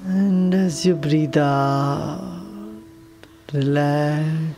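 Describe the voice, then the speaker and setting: A woman leading a guided meditation with soothing, unhurried instructions and long pauses. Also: sits low, steady tone, warm tone, soft background music.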